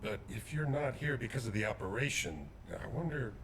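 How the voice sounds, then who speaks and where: A man voicing a grave, courteous veteran soldier in a video game cutscene, speaking in a measured, formal way. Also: medium pitch, animated, vocal fry, fairly bright tone, a little hiss or hum.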